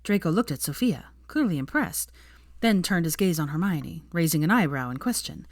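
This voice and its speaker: A woman reading a story aloud as narrator in an expressive audiobook style. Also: fairly low pitch, normal speed, no echo, studio quality.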